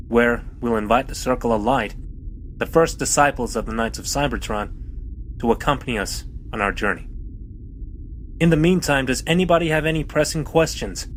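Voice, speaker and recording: A man voices an idealistic robot captain with a measured, formal delivery. He sounds earnest and steady, and a faint hiss sits under his speech. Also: mid-range voice, lightly acted. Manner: formal, solemn speech-making. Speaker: ship's captain. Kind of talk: fan audio drama acting.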